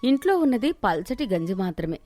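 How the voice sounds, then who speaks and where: A woman's voice: mid-range voice, big pitch swings, brisk, warm tone. A woman narrating a scripted moral story as the storyteller.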